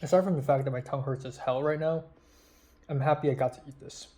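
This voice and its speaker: A man's voice: medium pitch, steady tone, fast, mellow. A young man rambling through a late-night video diary about his college classes, hesitant and full of fillers and restarts. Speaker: college student vlogger.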